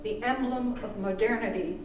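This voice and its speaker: A woman author reading her own literary text aloud at a live event, with measured, deliberate phrasing. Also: on the high side. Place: live performance event in a room. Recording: roomy, distant microphone with background noise.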